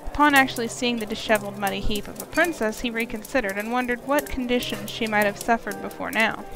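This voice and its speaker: Casting: female narrator for scripted fantasy, fairly high voice over clearly audible music. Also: fast talker. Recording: voice under prominent music.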